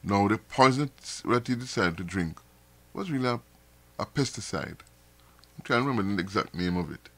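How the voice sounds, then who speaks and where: A man's voice: fairly low pitch, expressive, moderate pace, bright, forceful tone, no echo. A man hosting a live stream, talking casually to viewers with frequent repeats and restarts, sounding a little nasal from dust in his throat. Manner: rambling, repeats words and restarts often.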